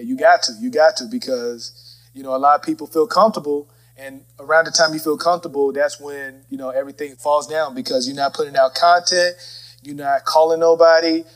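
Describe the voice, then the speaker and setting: A man with a slightly high voice hosts a relaxed, conversational interview. He speaks informally, like someone swapping encouraging advice with a guest. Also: moderate pace, poor audio. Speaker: podcast host interviewing a guest. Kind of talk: casual phone interview.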